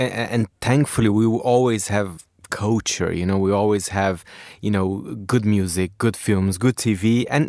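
Man, low and halting. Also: darkish tone, dry recording, clean studio sound. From Brazil. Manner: halting, with restarts and you-know fillers. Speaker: correspondent. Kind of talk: conversational radio commentary.

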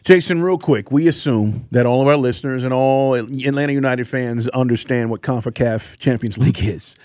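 A man's voice: mid-range voice, normal speed, a little mellow, dry recording, studio quality. A man hosting a radio sports talk show, asking his guest casual, hesitant questions.

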